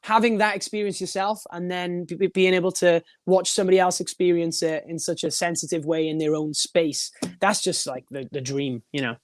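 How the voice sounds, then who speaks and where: A young man with a high voice, a band singer talking in a casual podcast interview, rambling with many restarts and little laughs. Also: steady tone, brisk, few pauses, no room sound, studio quality. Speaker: guest, band frontman and vocalist.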